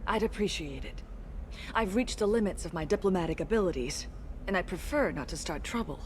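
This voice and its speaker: An actress playing an earnest, polite alien woman in a video game, speaking in a measured, diplomatic manner. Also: lowish voice, animated, a little hiss or hum, natural voice. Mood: earnest, concerned. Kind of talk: video game dialogue acting.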